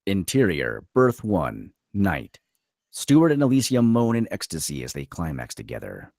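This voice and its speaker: A man's voice: low voice, wildly swooping pitch, unhurried, gravelly, darkish tone, no echo, studio quality. A man reading screenplay stage directions as dramatic scripted narration.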